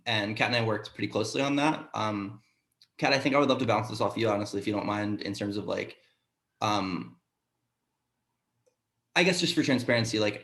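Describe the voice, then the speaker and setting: Man, mid-pitched, casual panelist, halting thinking-aloud delivery, roomy video-call echo. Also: a bit bright. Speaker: sound designer on a talkback panel. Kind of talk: casual panel discussion answer.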